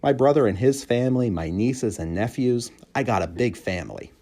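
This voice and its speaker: A booming, exuberant storybook janitor, performed by a male reader, chummy and folksy. His pitch rides high and swings widely from phrase to phrase. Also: lively intonation, normal speed, warm tone. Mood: friendly, chatty. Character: loud, jolly, chummy night janitor, adult man. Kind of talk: children's storybook character voice.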